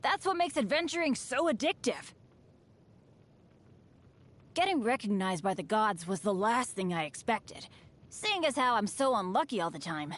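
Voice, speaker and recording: A woman's voice: fairly high pitch, very animated, moderate pace, pauses often, a bit bright. A woman voicing an upbeat but unlucky teenage boy adventurer in a video game, with an earnest, chatty, boyish delivery. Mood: earnest, hopeful. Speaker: game character voice actor. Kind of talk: scripted game character voice lines.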